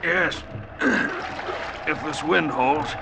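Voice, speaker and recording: An actor playing a quiet, dutiful ship's oiler in a mid-century radio drama. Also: male voice, on the high side, drawn-out words, creaky, heavily acted. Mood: weary, cautious. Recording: very noisy old radio recording.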